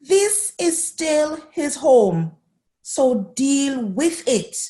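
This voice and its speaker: A woman's voice: high voice, very animated, very slow, mellow, full character voice. A woman playing a firm, protective mother who scolds her grown daughter in a radio drama. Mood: stern. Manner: stern and scolding.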